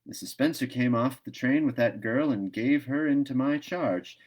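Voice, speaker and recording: A man voicing a brisk, talkative small-town station master in a storybook, with a careless, wry manner. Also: medium pitch, darkish tone, voice pushed up, lightly acted. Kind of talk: storybook read-aloud.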